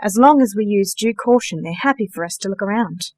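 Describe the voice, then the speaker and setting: A woman's voice: mid-range voice, animated, brisk, darkish tone, close to an everyday voice. A woman playing a genteel, dryly witty sorcerer in a radio play, polite, precise and matter-of-fact. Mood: composed, concerned. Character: composed, genteel, dryly witty female sorcerer, organizer of magic workers.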